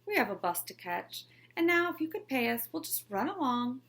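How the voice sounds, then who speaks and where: A woman's voice: high-pitched, sing-song, moderate pace, a bit bright, voice pushed up, exaggerated voice. A woman reading aloud, voicing a sly young woman tailor who coaxes and hurries the customer along. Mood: brisk.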